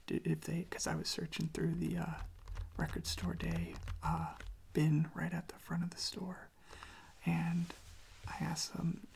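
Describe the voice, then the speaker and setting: ASMR creator needed: slow, soft whispering with heavy vocal fry, even delivery, close on the mic. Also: mid-range voice. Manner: slow, hushed, repeats phrases gently. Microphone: close microphone propped on a desk.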